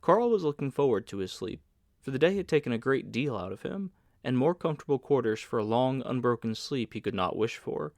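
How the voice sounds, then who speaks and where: A man's voice: mid-range voice, animated, brisk, creaky, darkish tone, dry recording. A man reading literary fiction aloud as an audiobook narrator, in scripted narration.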